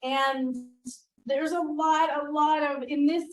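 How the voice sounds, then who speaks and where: A woman's voice: high voice, steady tone, slow. A middle-aged woman leading a teaching workshop in a classroom, engaging the group with questions and echoing their answers. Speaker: workshop presenter.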